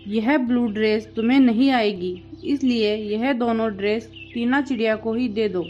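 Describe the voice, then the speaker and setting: A woman's voice: on the high side, moderate pace, a little mellow, lo-fi sound, faint music behind, lightly acted. A woman voicing a domineering bird stepmother with a bossy, curt manner in a cartoon story. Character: domineering, unkind bird stepmother, adult female. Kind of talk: Hindi cartoon story dialogue.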